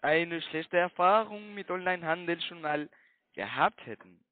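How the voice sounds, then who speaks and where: A man with a foreign accent, speaking a language not his own, giving halting, repetitive sales talk on the phone. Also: a little high, expressive, no room sound, narrow, tinny audio. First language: not German. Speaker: call-center telephone salesman.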